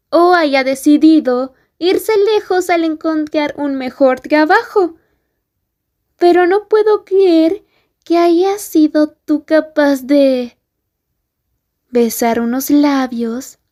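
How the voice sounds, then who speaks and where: Woman doing a jealous bully girl, asmr roleplay, high pitched, slow and mocking, not scared of anyone. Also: darkish tone. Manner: scornful, challenging, drawn-out delivery.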